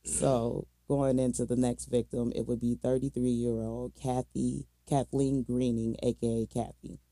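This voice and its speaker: Dark-voiced American man with a gravelly edge. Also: medium pitch, level tone, a little slow, no room sound. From Minnesota, United States. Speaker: podcast co-host telling a true crime case. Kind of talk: casual true crime storytelling with slang.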